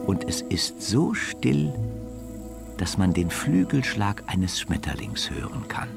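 A man narrating a scripted children's story in a measured way, now and then dropping to a hush. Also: sits low, sing-song, vocal fry, music in the background.

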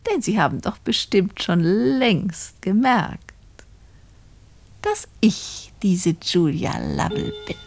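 An actress in a German radio play as a sly, gloating poor spinster who confides her crime to listeners in a deliberate storytelling manner. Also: mid-range voice, sing-song, vocal fry, a little hiss or hum, exaggerated voice. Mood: smug, coy.

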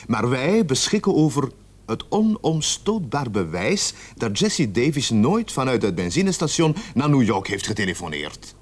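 An actor playing a patient but firm police officer in a radio drama, reasoning in a measured, formal and admonishing way. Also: male voice, medium pitch, big pitch swings.

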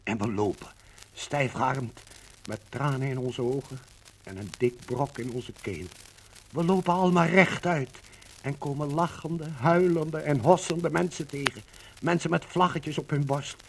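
Solemn Dutch radio-drama storyteller, noisy recording. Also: male voice, a little high, a little slow. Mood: excited, overcome. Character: Dutch man in hiding reliving liberation, emotional, intense. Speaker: first-person narrator. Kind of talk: 1950s Dutch radio docudrama narration.

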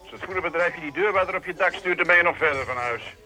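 Practical adviser, radio drama, blunt, insistent, sharp fairly high voice. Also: male voice, quick pace, faint music behind. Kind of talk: radio play acting.

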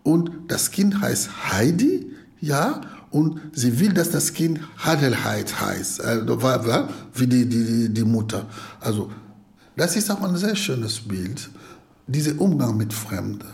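A man in his forties from Congo, speaking in a halting, reflective interview manner full of pauses. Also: medium pitch, big pitch swings, creaky, a little mellow. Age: 40s. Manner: halting, with frequent pauses and fillers.

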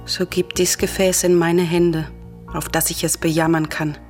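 An actress playing a proud, defiant, grieving Greek princess in a solemn radio drama, speaking in formal, declamatory verse. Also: female voice, on the low side, little pitch movement, normal speed, a little mellow, faint music behind, natural voice. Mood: grieving, lamenting.

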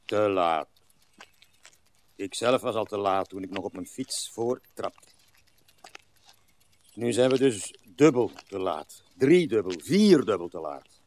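An actor playing a doleful, courtly old man in a radio drama, speaking in a plaintive, halting, resigned way. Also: fairly low pitch, animated, darkish tone. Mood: grumbling. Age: older.

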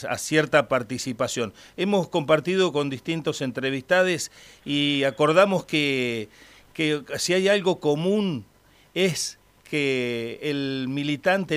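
A man with an Argentine accent hosting a radio interview in a friendly, halting way, full of fillers. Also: fairly high pitch, fairly bright tone, clear, resonant tone. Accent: Argentine Spanish. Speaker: radio host.

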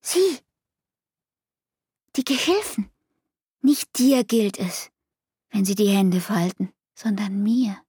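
An actress playing an anguished, fatalistic young woman in love in a literary radio play, with a husky, breathy voice. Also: medium pitch, animated, takes it slowly, long pauses, warm tone, no echo, studio quality, exaggerated voice. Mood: ashamed, agitated. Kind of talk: literary radio drama acting.

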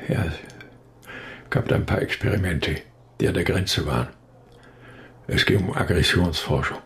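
An actor playing a guarded scientist in a radio drama, hesitant and evasive, speaking in clipped phrases. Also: male voice, deep voice, moderate pace, gravelly. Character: guarded male scientist confessing uneasily to dark secrets.

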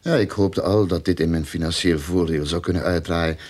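An actor playing a sly, greedy detective in a radio drama, with a mocking, theatrical, mock-polite manner. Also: male voice, low voice, fairly even intonation, normal speed, a little mellow, husky. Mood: smug, insinuating.

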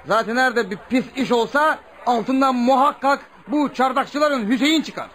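An actor playing a talkative, helpful village coffeehouse owner in a radio drama. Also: male voice, very high voice, normal speed, fairly bright tone. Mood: eager, bossy. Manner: folksy, exclamatory, full of interjections.

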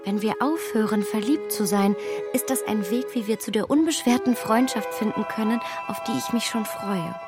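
An actress in a radio play as a self-assured young gentlewoman, speaking a soft, breathy, reflective inner monologue. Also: mid-range voice, normal speed, loud music behind the voice, natural voice. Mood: wry musing. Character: self-assured young gentlewoman musing privately on her feelings.

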